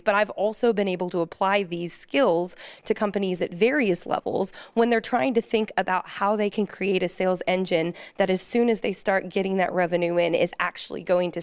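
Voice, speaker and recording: A woman's voice: mid-range voice, clean tone, no echo. An American-accented woman explaining her executive role on a panel in an articulate, businesslike way. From United States. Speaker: panelist, fractional chief revenue officer.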